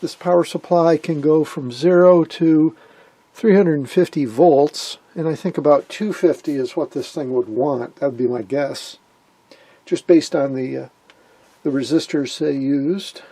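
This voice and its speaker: A man with a husky voice giving a casual, hands-on technical commentary, thinking aloud as he works. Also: fairly high pitch, unhurried, warm tone, dry recording. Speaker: host of an electronics repair vlog.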